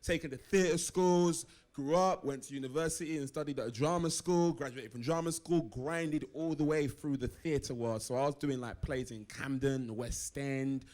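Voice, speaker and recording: A young man chats easily as a guest on a live show, his voice sitting at an ordinary middle pitch. He tells his story in an animated, casual way and drops plenty of slang along the way. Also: moderate pace, few pauses. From East London, England. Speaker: actor guest. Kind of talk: casual live interview chat.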